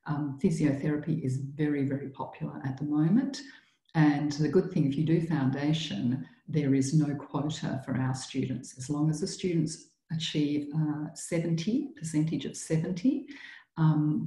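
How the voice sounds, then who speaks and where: Low, mellow-voiced woman presenting. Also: echoey room, low-quality recording. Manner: chatty, explanatory, with many ums and restarts. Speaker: school staff presenting to students. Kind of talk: informal presentation to prospective students.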